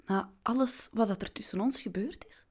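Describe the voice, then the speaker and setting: An actress playing an indignant, melodramatic wife in a break-up, with a Flemish accent, in a comedic radio play. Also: female voice, medium pitch, normal speed, breathy edge, natural voice. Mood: softening. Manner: melodramatic, exasperated.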